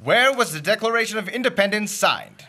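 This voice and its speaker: A greedy shyster lawyer with a high, bright, bouncy delivery, as a young man acts him out. He rattles off wisecracks and puffs up into mock-grand speeches in a comic radio play. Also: lively intonation, fairly quick, exaggerated voice. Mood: wisecracking. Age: young adult. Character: fast-talking, greedy male shyster lawyer.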